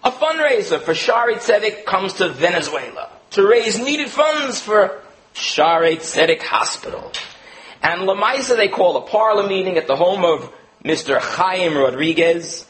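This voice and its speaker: Animated high-voiced man giving a religious lecture. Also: poor audio. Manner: animated storyteller, lively with quoted voices and asides. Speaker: rabbi teaching a lecture. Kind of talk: Torah lecture with illustrative stories.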